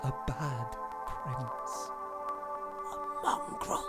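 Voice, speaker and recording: A man playing a self-loathing young prince in a melodramatic audio drama, halting and dramatic in delivery. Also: medium pitch, huge pitch swings, full character voice. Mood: bitter self-loathing. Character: anguished young prince who believes himself a dangerous monster. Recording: voice under very loud music. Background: loud music.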